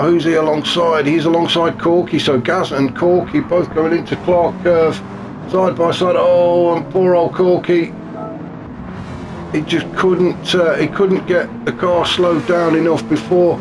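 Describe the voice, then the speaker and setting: A man commentating a sim race in a chatty, wry way, his voice a bit gravelly and snuffly from a cold. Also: a little high. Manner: chatty and wry, many fillers. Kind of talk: live sim racing commentary. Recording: noisy with car engine sounds behind.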